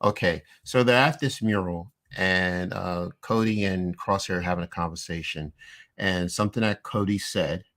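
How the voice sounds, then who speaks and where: A man co-hosting a live-streamed podcast, recapping a show episode in a loose, animated way with lots of restarts and "you know.". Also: sits low, moderate pace. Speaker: podcast co-host.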